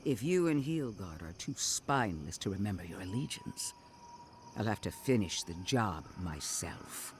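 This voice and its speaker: A man's voice: fairly low pitch, lively intonation, normal speed, a little hiss or hum, natural voice. A man playing a stern, contemptuous military commander in a game cutscene, speaking in a formal, menacing, oratorical manner. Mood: scornful. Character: stern, contemptuous male military commander, threatening and self-righteous. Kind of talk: dramatic game cutscene acting.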